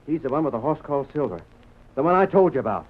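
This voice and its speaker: An actor playing a torn but decent wanted cowboy in an old-time radio western, with earnest, rustic American speech. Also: male voice, fairly high pitch, big pitch swings, quick pace. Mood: resolute. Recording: dull, muffled old radio recording.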